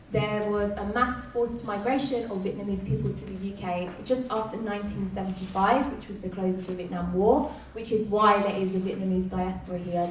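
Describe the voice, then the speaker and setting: A woman hosting a live community event, chatty and upbeat, often laughing as she talks. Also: mid-range voice. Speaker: event host. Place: community event hall, indoors. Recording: echoey room, distant mic, background noise.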